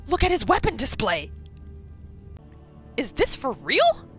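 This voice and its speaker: A woman hosting a gaming roleplay series, giving expressive playthrough commentary and telling her character's story. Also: sits high, takes it slowly, soft background music. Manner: expressive, exclamatory reactions.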